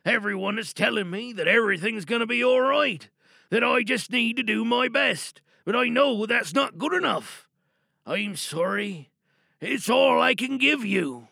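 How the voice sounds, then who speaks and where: A man voicing a desperate high school senior. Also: expressive, unhurried, vocal fry, pushed and bright, dry recording, clean studio sound, heavily acted. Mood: desperate pleading. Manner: pleading, despairing. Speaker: monologue reader. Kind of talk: dramatic audio monologue.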